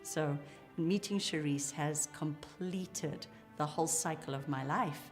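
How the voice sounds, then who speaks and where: A middle-aged woman talks in a notably low voice. She sounds articulate and enthusiastic as a psychologist describing her work. Faint music runs behind her. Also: a little slow. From Zimbabwe. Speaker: psychologist, clinic co-founder. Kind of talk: interview account.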